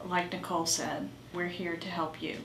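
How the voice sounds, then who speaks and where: A woman playing a reassuring oncology massage therapist in an amateur role play, explaining in a halting way. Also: fairly low pitch, level tone, a bit bright, lightly acted. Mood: reassuring, explanatory. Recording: roomy, distant mic with faint noise.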